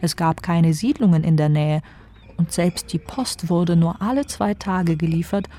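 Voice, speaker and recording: Dark-toned wry storyteller. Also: female voice, lowish voice, unhurried. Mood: wry, composed. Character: wry female storyteller, knowing and composed. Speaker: narrator. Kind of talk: literary radio play narration.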